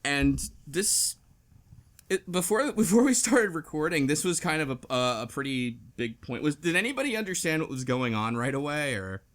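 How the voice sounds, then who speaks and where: A man's voice: a little high, big pitch swings, moderate pace, fairly bright tone. Rambling man hosting a casual discussion. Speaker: podcast host. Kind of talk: casual book club discussion.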